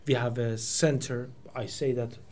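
Slow, thoughtful man with a Persian first language. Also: mid-range voice, fairly even intonation, darkish tone. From Iran. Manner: thoughtful, searching for words, many restarts and fillers. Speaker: poet giving a talk. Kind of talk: reflective lecture. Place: small meeting room indoors. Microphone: table microphone.

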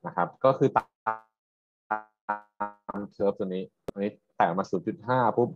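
A man's voice: on the low side, unhurried, over music. A man lecturing on technical material, explaining step by step and often checking with questions. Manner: explanatory, checks understanding with frequent tag questions. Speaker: university lecturer. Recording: dull, roomy lecture recording.

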